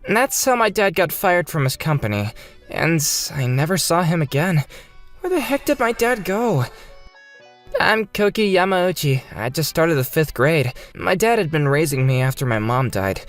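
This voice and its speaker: A woman's voice: sits low, very animated, normal speed, soft background music. A woman voicing an earnest, bewildered fifth-grade boy who narrates his own story in a manga dub. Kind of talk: scripted first-person narration in a manga dub.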